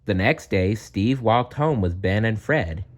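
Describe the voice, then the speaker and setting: A man's voice: lowish voice, lively intonation, a little slow. A man reading a children's story aloud as a storyteller.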